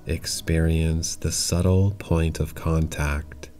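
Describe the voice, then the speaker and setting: A man leads a guided relaxation in a deep voice at an extremely slow pace. He gives calm, simple instructions in short phrases, separated by long pauses. Faint music plays behind him. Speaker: yoga nidra meditation guide.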